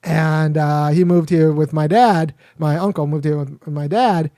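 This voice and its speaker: Male host, casual rambling talker, slightly high voice, dry close recording. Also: a little slow, warm tone, no echo. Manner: rambling, frequent restarts and fillers. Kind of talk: casual commentary monologue.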